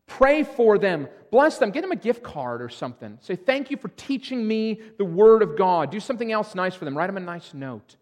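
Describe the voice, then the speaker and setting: A man's voice: sits high, big pitch swings, normal speed, hardly any pauses, darkish tone. A middle-aged man preaching a sermon as pastor in a church, emphatic with frequent restarts. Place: church sanctuary. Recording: long hall reverb.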